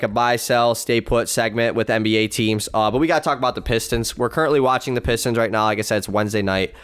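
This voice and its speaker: A man co-hosting a casual sports podcast, a bit stuffy from a cold, talking excitedly in long run-on stretches. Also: mid-range voice, clean tone. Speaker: podcast co-host. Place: room at home, game on in background.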